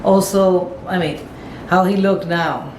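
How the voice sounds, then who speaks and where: A woman's voice: lowish voice, steady tone, slow, darkish tone. A middle-aged woman giving casual, rambling commentary, thinking aloud as she repeats and rewords herself. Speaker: vlogger paying homage to a pop singer. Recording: echoey room with faint background noise.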